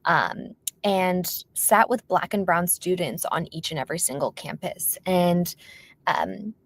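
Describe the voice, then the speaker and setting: Low-voiced woman, earnest podcast guest. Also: measured pace, creaky, no echo. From born in Palestine, raised in North Philadelphia. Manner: earnest, emphatic storytelling with frequent fillers. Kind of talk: podcast interview.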